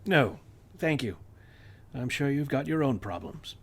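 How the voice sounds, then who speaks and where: A man's voice: medium pitch, lively intonation, unhurried, slightly rough. An actor playing a distracted, dry-witted male astronomy professor in a radio-play style script reading. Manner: dry, teasing, offhand.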